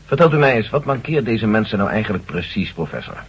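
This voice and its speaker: An actor playing a determined army general in a radio drama, assertive and reasonable, probing with questions. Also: male voice, on the low side, big pitch swings, quick pace, husky, heavily acted. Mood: sober. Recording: dull lo-fi old radio recording.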